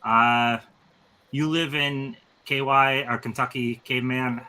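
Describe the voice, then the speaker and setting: Bright-voiced man in his thirties hosting. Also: mid-range voice, steady tone, slow pace, slight background noise. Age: 30s. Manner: eager, rambling with fillers. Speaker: stream host. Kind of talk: casual panel chat.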